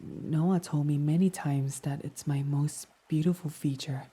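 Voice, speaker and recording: A man voicing a devoted, submissive fox boy servant in an intimate ASMR roleplay, reverent, hesitant and breathy. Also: fairly high pitch, steady tone, a little slow. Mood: meek, reverent. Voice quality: soft, breathy. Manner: reverent, self-deprecating, hesitant, breathy half-whispers.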